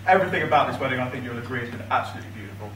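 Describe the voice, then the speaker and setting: A man's voice: mid-range voice, expressive, fairly bright tone, slight background noise. Young man giving a teasing wedding speech. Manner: dry, teasing delivery with pauses for laughter. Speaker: best man. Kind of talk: wedding speech read from notes. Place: large reception room with high ceiling, indoors. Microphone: far camera across the room, no mic. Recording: echoey room from far off. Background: guests laughing, room ambience.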